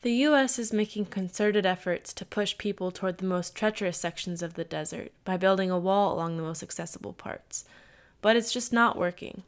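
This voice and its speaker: A woman delivers scripted documentary narration at a steady, measured pace. Her voice sits mid-range and her delivery stays even throughout. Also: medium pitch, clean tone. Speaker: narrator, host.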